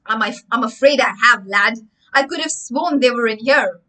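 A woman's voice: on the high side, moderate pace, bright, forceful tone. A woman with an Indian English accent voicing a fussy older male craft teacher who stumbles and restarts as he talks. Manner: rambling, stuttering restarts.

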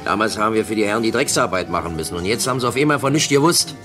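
A boisterous, bitter ex-convict in a radio play acted by a man. He blusters in brash everyday language and swings animatedly in pitch. Music plays clearly behind him. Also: animated, racing, natural voice. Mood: bitter, boastful. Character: Gustav Herwig, boisterous bitter Berlin ex-convict.

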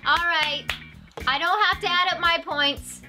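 A woman's voice: very high voice, steady tone, a little slow, music in the background. A young woman hosting a playful group game in a bubbly, exclaiming, giggly manner. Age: young adult. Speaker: game show host in princess costume. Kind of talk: playful hosting chat for teen video. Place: indoor living-room studio set.

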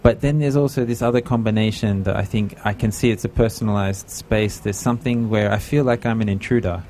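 A man's voice: lowish voice, fairly even intonation, brisk, a little mellow, a little hiss or hum. A middle-aged man hosting an interview at an art fair booth, speaking on a handheld mic and sometimes searching for words. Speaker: talk host. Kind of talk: interview host.

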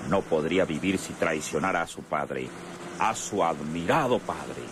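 A man's voice: sits low, unhurried, noisy background. A man giving dramatic scripted narration for a radio serial.

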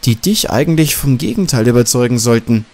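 A man's voice: medium pitch, expressive, fairly quick, a little mellow, lightly acted. A man voicing a doubtful, insistent woman journalist with a mocking streak in an audiobook. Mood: defensive. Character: sceptical woman journalist, gently mocking and uneasy. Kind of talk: audiobook dialogue.

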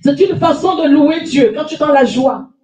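High-voiced woman pastor preaching, with a cold. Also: animated, normal speed, warm tone. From France. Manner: emphatic preaching, repeating phrases, calling for amens. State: has a cold. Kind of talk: sermon. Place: small church hall with stage and lectern. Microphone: handheld mic, far camera. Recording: echoey room, voice from a distance.

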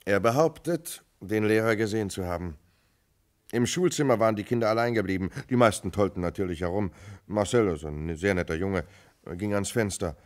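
Low-pitched, matter-of-fact police lieutenant, acted by a man. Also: fairly quick, close to an everyday voice. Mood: explanatory. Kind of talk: German radio crime drama acting.

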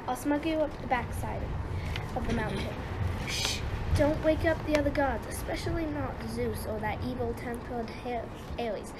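A woman playing a brisk, disdainful goddess in a radio play. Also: fairly high pitch, very noisy, natural voice. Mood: conspiratorial.

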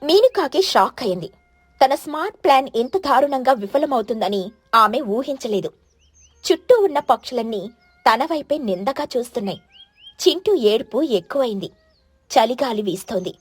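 A woman's voice: on the high side, animated, normal speed, breathy edge, no room sound. A woman storyteller reading a scripted children's moral story as narration.

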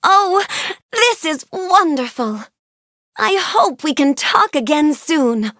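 A woman voicing a kind, bookish young fairy-tale princess in a video game, speaking politely and gently with wide-eyed wonder. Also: high voice, sing-song, takes it slowly, no echo, clean studio sound, full character voice. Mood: friendly, encouraging. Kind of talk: video game character voice clips.

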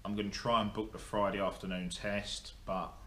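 A man's voice: fairly low pitch, little pitch movement, moderate pace, sharp tone. A young man giving a casual, rambling update to camera, full of ums and restarts. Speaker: motorsport vlogger. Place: indoor workshop. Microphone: camera in front of him. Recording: echoey workshop, faint hum.

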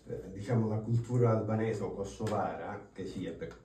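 A man with a very deep, dark voice talks reflectively, thinking aloud as he goes. He sits far from the mic in an echoey room with faint noise. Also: normal speed. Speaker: friend of the artist. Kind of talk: casual chat.

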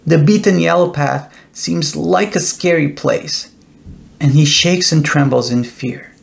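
A middle-aged man narrating a children's bedtime story in a deliberate, expressive storytelling way. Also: on the high side, creaky. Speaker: author reading own children's book.

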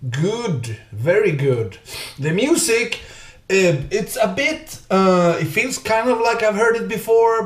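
A man in his thirties, not a native English speaker, giving a casual music reaction with halting speech and many fillers, recorded on a desk webcam at home. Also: a little high. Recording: echoey room.

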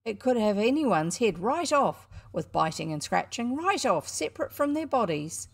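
A scornful, cocky schoolboy barking at his audience, his voice pitched high and swinging up and down, put on by a woman reading aloud. He stays loud and indignant, full of showman's bluster. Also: big pitch swings, moderate pace, creaky, exaggerated voice. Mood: boastful.

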